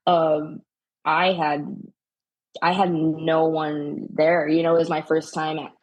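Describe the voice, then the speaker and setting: A woman's voice: low-pitched, little pitch movement, slow pace, highs cut off. A woman who plays professional sport, chatting casually as a guest on a podcast. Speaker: podcast guest, pro cornhole player. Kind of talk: casual interview chat.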